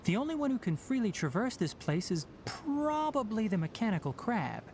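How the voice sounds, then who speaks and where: A man's voice: sits high, sing-song, normal speed, noisy background. An actor voicing a young, fox-eared male forest ranger in a video game, who talks in a precise, explanatory way. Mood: explaining. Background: game music.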